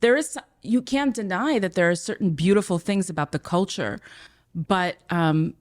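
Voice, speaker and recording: A woman's voice: lowish voice, normal speed. A middle-aged woman from the former Soviet Union, hosting a podcast in casual, hesitant conversation. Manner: hesitant, many fillers and restarts. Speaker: podcast host and teacher.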